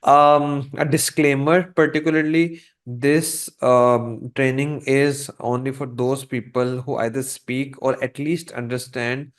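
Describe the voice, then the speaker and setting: A man whose first language is Urdu or Hindi, an online course instructor explaining his training program to viewers in a measured, hesitant way. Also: medium pitch, flat delivery.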